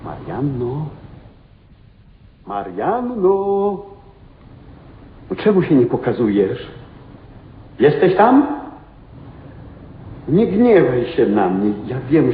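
A man's voice: on the high side, narrow, tinny audio. A man acting in a vintage radio play as an anxious, devoted man who calls out theatrically and pleads with his beloved. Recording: thin old recording, long echo, faint noise.

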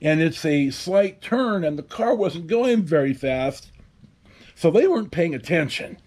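A man in his fifties hosting a casual storytime to camera, with a halting, wry delivery. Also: on the high side, animated, darkish tone. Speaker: host. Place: covered outdoor patio at a house.

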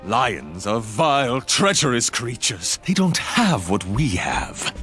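A man's voice: mid-range voice, big pitch swings, takes it slowly, sharp tone, faint music behind, full character voice. A man voicing a scheming male elephant villain who mocks and threatens, then turns falsely sweet. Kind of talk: cartoon voice acting.

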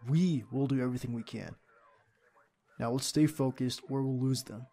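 A young man playing a devoted secret agent boyfriend in an audio roleplay, with a husky, gravelly voice and an earnest manner. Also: mid-range voice, steady tone, normal speed, dark tone, natural voice. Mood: urgent, determined. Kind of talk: ASMR boyfriend roleplay acting.

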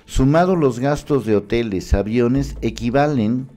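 A man's voice: mid-range voice, a little slow, soft background music. Indignant Mexican man on a commentary show. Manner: emphatic, indignant, animated hand gestures. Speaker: political commentator. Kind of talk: opinion commentary to camera. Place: small studio with city backdrop. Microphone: studio mic in frame.

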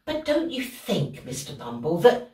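A woman's voice: medium pitch, wildly swooping pitch, unhurried, a little mellow. An actress plays a genteel older matron in a Victorian stage comedy. She is coy and gushing, taking her time with lines that rise and fall widely. The sound is roomy and a little distant. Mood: impressed. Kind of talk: theatrical period comedy acting. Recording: roomy, distant camera microphone.

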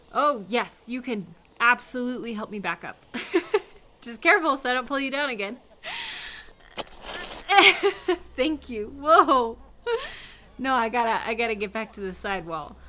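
Bright-voiced, nervous young woman, acted by a woman. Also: fairly high pitch. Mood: flustered, apologetic. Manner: halting, self-talking, giggly and apologetic. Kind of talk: intimate audio roleplay acting.

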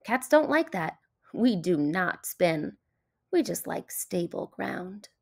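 A woman's voice: fairly low pitch, big pitch swings, slow, creaky, exaggerated voice. Woman reading as a finicky, swooping storybook cat. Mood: dramatic protest. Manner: emphatic, exclaiming, dramatic delivery. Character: finicky, bossy, indignant grey cat laying down rules. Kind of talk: children's picture book read-aloud.

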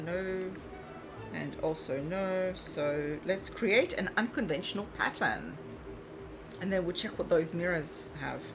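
A woman talks casually through a mobile game, reading out prompts and musing to herself. Her voice sits a little low, and her English carries a wandering, mostly Australian accent over an Eastern European first language. Music plays clearly beneath her. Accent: mixed Australian English. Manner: chatty, reads game prompts aloud, muses to herself. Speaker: let's play host. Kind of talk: casual gameplay commentary.

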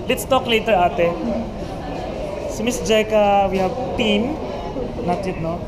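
A woman's voice: medium pitch, slow. A woman with a Filipino accent greeting fellow vloggers, bubbly and chatty, switching between languages. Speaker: food vlogger. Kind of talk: casual greetings on camera. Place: busy food court indoors. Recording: crowded food court, loud noise.

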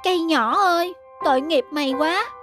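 Very high-voiced gentle cartoon schoolboy. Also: female voice, moderate pace. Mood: self-pitying. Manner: childlike, plaintive. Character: sad self-pitying young schoolboy, gentle. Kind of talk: children's cartoon dubbing. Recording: music behind the voice.

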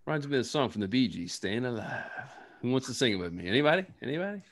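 A man's voice: mid-range voice, sing-song, rapid-fire. A young man hosting a casual fishing panel over a video call, playful and joking as he moves between questions. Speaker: host.

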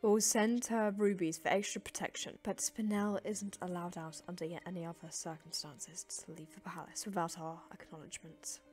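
A woman's voice: on the low side, steady tone, moderate pace, few pauses, darkish tone, soft background music, natural voice. A woman voicing an anxious, sorrowful, motherly female alien ruler in a comic dub, with a fretful, emotional and formal manner. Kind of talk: animated comic dub acting.

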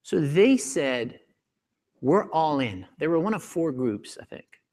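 A man in his forties giving a keynote talk on a conference stage, animated and acting out the voices of doctors, on a lapel mic. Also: a little high, slow pace, in a large hall. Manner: animated, acts out doctors' voices, gestures a lot. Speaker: keynote speaker. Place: large conference hall stage.

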